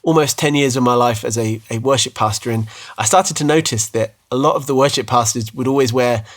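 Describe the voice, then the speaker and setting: A man's voice: mid-range voice, fairly quick. British guy, 30s, chatty podcast cohost, keeps restarting his sentences, pokes fun at himself. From United Kingdom. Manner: chatty, self-deprecating, frequent restarts. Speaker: podcast co-host. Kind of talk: casual faith podcast chat.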